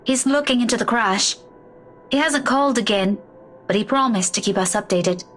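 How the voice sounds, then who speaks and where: An actress in a story game playing a weary, distraught woman who speaks haltingly, with sighs. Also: a little high, a bit bright, slight background noise, lightly acted. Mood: grave, weary. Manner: halting, sighing, faltering speech. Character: weary, distraught woman keeping hospital vigil over loved one. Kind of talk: dramatic game dialogue.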